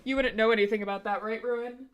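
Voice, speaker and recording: A woman streamer with a slightly hoarse voice, chatting casually and giggling as she talks to her chat. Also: a little high, steady tone, fast, soft background music.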